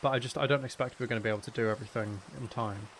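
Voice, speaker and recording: A man with a creaky, fry-laden voice at an ordinary male pitch talks casually on a gaming livestream. He rambles and hesitates, thinking out loud as he goes. Faint noise and clicks sit behind him. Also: steady tone, rapid-fire. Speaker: streamer.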